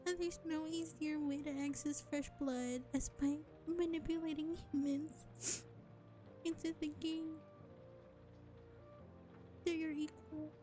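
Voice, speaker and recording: Sly, self-serving vampire played by a woman for audio roleplay: soft-edged mellow tone, unhurried sardonic delivery, faint music underneath. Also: high voice, fairly even intonation. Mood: mock pleading, smug. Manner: sardonic, condescending, drawling with deliberate pauses. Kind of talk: scripted audio roleplay acting addressed to listener.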